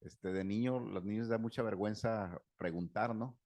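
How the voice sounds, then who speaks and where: Casting: middle-aged therapist, low gravelly voice, easygoing lecture full of stories. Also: male voice, normal speed, darkish tone. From Mexico. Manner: conversational, anecdotal, laughs often, many fillers. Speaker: psychotherapist giving a talk. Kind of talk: informal lecture to a group.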